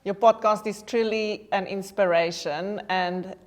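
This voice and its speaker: A woman's voice: medium pitch, fairly even intonation, a little slow. A woman hosting a podcast, interviewing a guest in an encouraging way. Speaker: podcast host.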